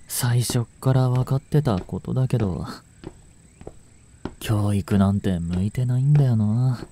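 A man playing a gentle, brooding male chemistry teacher in a voice drama, soft-spoken with sighs and murmurs. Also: mid-range voice, measured pace, slight background noise, natural voice. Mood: dejected, musing. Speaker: voice actor in a fan voice drama. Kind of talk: Japanese audio drama monologue, intimate acting.

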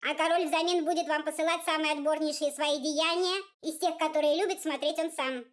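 A woman voicing a tiny, self-important male envoy from a storybook, with a piercing squeaky voice and formal, rapid patter. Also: steady tone, lightly acted. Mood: conciliatory, bargaining. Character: tiny pompous bearded male envoy of the dream king. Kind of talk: storybook character voice.

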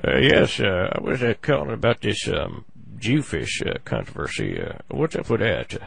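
A man with a gravelly voice making a comic prank phone call, halting and full of mock-earnest complaint. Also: fairly low pitch, huge pitch swings, a bit bright, no room sound. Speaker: prank caller on a comedy show.

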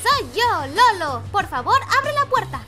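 A woman's voice: very high voice, sing-song, measured pace, full character voice. A woman voicing a scheming, bossy, impatient teenage girl in an amateur cartoon dub, with a Latin American accent. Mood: bossy, irritated. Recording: loud music behind fan dub.

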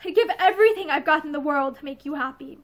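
A woman reading aloud, voicing a grim, reserved man who speaks tersely and quietly. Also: extremely high pitch, sing-song, brisk. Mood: quiet tender.

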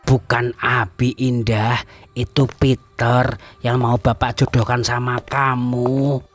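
An actor playing a stern middle-aged father who scolds his daughter in an animated cartoon. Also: mid-range voice, takes it slowly, no room sound, soft background music. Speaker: father of Indah.

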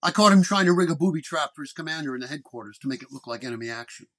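A man playing a terse, self-assured 1930s paranormal detective in an audio story, speaking flatly and deliberately. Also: fairly high pitch. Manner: flat, deliberate.

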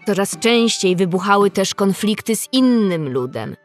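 A woman's voice: medium pitch, lively intonation, normal speed, faint music behind. A woman narrator telling a scripted historical story in a radio drama.

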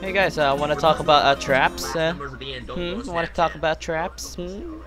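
A man's voice: on the high side, unhurried. Joking young man chatting over game music. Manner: joking, loud outbursts and frequent laughs. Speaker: vlogger playing a social VR game. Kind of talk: casual game commentary and chat.

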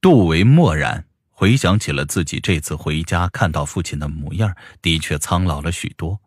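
Deep-voiced male audiobook narrator. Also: animated, moderate pace, husky, dry recording, studio quality. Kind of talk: scripted story narration.

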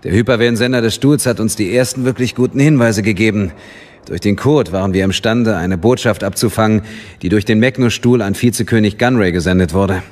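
An actor playing a dutiful, respectful intelligence captain, formal and factual, in a radio drama. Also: male voice, fairly low pitch, a little fast, darkish tone, natural voice.

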